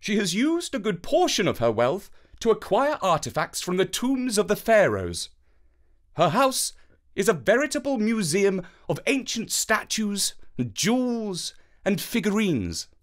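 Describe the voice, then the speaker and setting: Victorian gentleman detective, voiced by a man: proud, formal, pitched up, very animated intonation. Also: full character voice. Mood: assured, brisk. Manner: formal, measured, emphatic. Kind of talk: children's storybook character voice.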